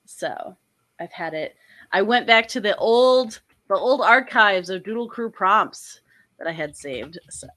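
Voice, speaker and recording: A woman's voice: medium pitch, lively intonation, measured pace, fairly bright tone. A young adult woman hosting a casual drawing stream, chatty and bubbly, sounding a little nasal from a cold. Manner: bubbly, chatty, lots of laughter. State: congested with a cold, a bit nasal. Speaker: host and artist.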